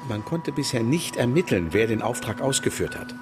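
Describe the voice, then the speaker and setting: An actor playing a concerned, frank defence lawyer in a German radio crime drama, serious and direct. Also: male voice, mid-range voice, big pitch swings, normal speed, over music. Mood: earnest, indignant.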